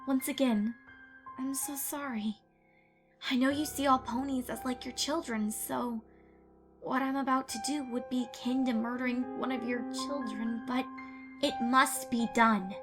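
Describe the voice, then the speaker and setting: A woman's voice: high voice, fairly even intonation, unhurried, music in the background. A woman voicing a deranged young female pony student in a dramatic audio reading, coldly curious, precise and falsely sweet. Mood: sorrowful resolve.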